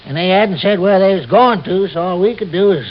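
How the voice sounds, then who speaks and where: An actor playing a simple, deferential backwoods camp keeper with a hesitant, folksy way of talking, in old radio drama style. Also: male voice, high voice, fairly quick, highs cut off, lightly acted. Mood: sheepish, worried. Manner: hesitant, folksy, echoing others. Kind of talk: 1940s-50s radio drama acting. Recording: noisy, band-limited old radio recording.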